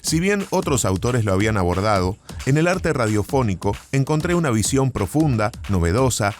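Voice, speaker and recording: A man's voice: medium pitch, sing-song, normal speed. A man with an Argentine accent, a podcast host and interviewer who speaks in a courteous, appreciative, conversational way. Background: music bed.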